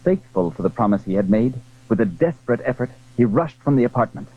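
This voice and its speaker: A man's voice: medium pitch, expressive, quick pace. A man narrating a literary drama in a formal, scripted storytelling style. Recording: very muffled, lo-fi old recording.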